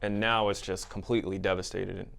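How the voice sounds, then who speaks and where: A man's voice: sits low, little pitch movement, fairly quick, gravelly, a bit bright. A man hosting a podcast interview, politely checking facts with his guests. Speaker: podcast host.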